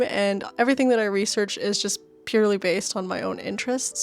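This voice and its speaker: A young adult woman hosting a relaxed craft podcast, telling history in a soft, chatty storytelling way with casual asides. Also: medium pitch, creaky. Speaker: podcast host.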